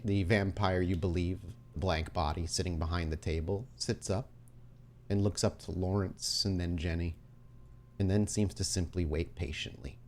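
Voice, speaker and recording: A man running a tabletop roleplaying game as game master, telling the story in a dramatic, deliberate way with pauses. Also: low-pitched, slight background noise.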